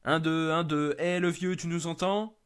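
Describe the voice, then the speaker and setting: A man's voice: on the high side, fairly even intonation, moderate pace, clear, resonant tone, voice pushed up, close to an everyday voice. A man voicing an anxious young rescuer in an amateur horror story, casual and hesitant in manner. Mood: casual, hurried.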